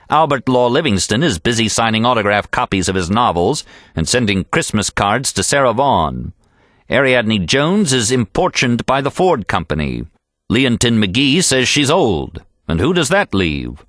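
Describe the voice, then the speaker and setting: A man voicing a pompous, sharp-tongued male poet in an audiobook, declamatory, sneering and boastful. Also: on the low side, no echo, studio quality. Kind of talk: audiobook character reading.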